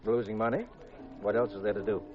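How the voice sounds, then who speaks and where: A cool, wry gambling sleuth from a 1950s radio mystery, played by a man. His voice sits low and his delivery is dry and understated. The old recording is muffled, with a little music underneath. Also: flat delivery, fast, close to an everyday voice. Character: cool, wry male amateur detective and gambler. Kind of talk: 1950s radio mystery acting, with first-person narration.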